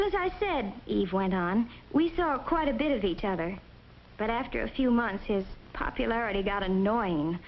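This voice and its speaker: An actress playing a young college woman who narrates reflectively in a 1950s educational film. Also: fairly high pitch. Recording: muffled, hissy 1950s film soundtrack.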